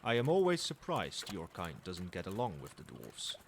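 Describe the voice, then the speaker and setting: A man's voice: sits low, wildly swooping pitch, moderate pace, vocal fry, slight background noise, heavily acted. A man playing a proud old dragon in human form in an ASMR roleplay, speaking in formal, lofty and teasing phrases, with fondness. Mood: amused, lofty.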